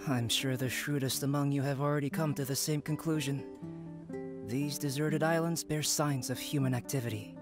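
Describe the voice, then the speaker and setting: Man as calm analytical young scholar, measured. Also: medium pitch, music in the background, close to an everyday voice. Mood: pondering. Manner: measured, formal, deductive. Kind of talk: game voice acting.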